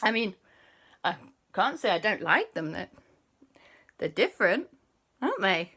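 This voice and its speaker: Easygoing rambling man, played by a woman reading aloud, bright and high. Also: big pitch swings, a little slow, husky, exaggerated voice. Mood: chatty. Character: man named Andy, easygoing and chatty.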